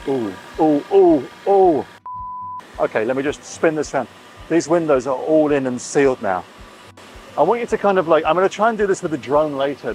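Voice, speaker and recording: A man's voice: fairly high pitch, darkish tone, rough recording. A middle-aged man giving a chatty, admiring walkthrough of his catamaran being built, exclaiming over the details. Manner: enthusiastic, admiring, chatty with frequent oohs. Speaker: boat owner touring his catamaran build. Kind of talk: casual walkthrough vlog. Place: inside a catamaran under construction at a boatyard.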